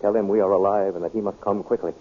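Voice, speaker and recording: An actor playing an earnest, commanding captive hero in a 1930s radio serial. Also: male voice, on the low side, steady tone, quick pace, a little hiss or hum, close to an everyday voice. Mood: urgent. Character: urgent, resolute captive hero plotting an escape. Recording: tinny old radio recording.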